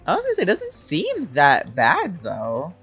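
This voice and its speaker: A woman's voice: on the low side, huge pitch swings, moderate pace, a bit bright, faint music behind. A woman giving casual, informal game playthrough commentary, muttering reactions as a streamer.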